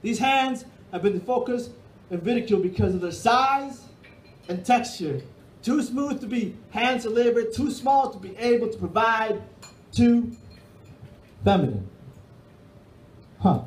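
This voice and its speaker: High-voiced Peruvian-born spoken-word poet. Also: male voice, animated, a little slow, long pauses. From Peru. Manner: rhythmic, emphatic delivery with sweeping hand gestures. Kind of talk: spoken-word poetry performance. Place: dark stage of a bar and music hall, indoors. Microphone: stand microphone on stage. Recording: roomy hall sound.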